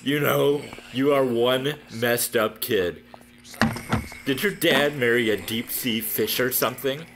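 A man's voice: mid-range voice, a bit bright, slight background noise. A man playing a cruel, gloating bully who talks in a taunting way in an audio drama.

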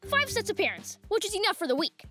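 A woman voicing an upbeat, jokey, slightly awkward sixth-grade boy in an animated cartoon, with music behind. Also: extremely high pitch, quick pace. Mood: earnest, planning.

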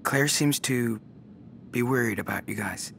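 A young man playing a caring, protective older teen brother who speaks softly and hesitantly, in a video game cutscene. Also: medium pitch, measured pace, gravelly, slight background noise. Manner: soft-spoken, hesitant.

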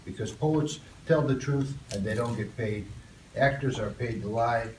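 An older man, a guest poet, reading a poem and chatting softly with students in a school classroom. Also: medium pitch, a little slow. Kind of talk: poetry reading and chat. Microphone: far phone camera. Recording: roomy, distant, noisy phone recording.

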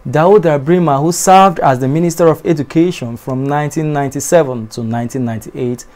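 A young adult man reading a television news bulletin in a studio, formal and measured, his voice dropping at the ends of sentences. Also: medium pitch, darkish tone. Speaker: newscaster. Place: TV studio in front of a backdrop.

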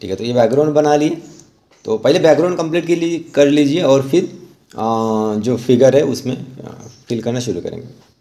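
An Indian man giving an informal step-by-step tutorial voice-over as an instructor, halting and repeating himself as he explains. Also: medium pitch, lively intonation, dark tone. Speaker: rangoli art instructor.

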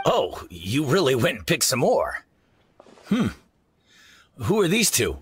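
A man's voice: medium pitch, huge pitch swings, slow, a little hiss or hum. A man playing a kindly, blunt, fatherly market merchant in a game cutscene, plainspoken and emphatic. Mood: gruffly kind. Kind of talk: video game cutscene acting.

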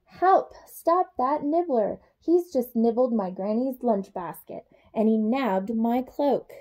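Small storybook girl voiced by a woman. Dismayed, exclaiming, high and unhurried. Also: expressive, warm tone. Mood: alarmed.

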